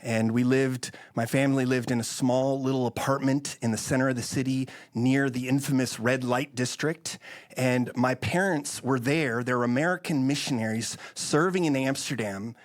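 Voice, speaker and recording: A man's voice: mid-range voice, fairly even intonation, moderate pace. A man from the Netherlands telling his story as an interview guest, with earnest, emphatic delivery and a preaching bent. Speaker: interview guest, missions organization leader. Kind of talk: conversational testimony and preaching.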